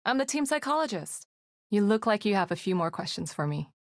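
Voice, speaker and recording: A woman's voice: mid-range voice, sing-song, brisk, no echo, clean studio sound. An actress playing an earnest female team psychologist in a video game, speaking in an articulate, analytical way. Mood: composed, serious.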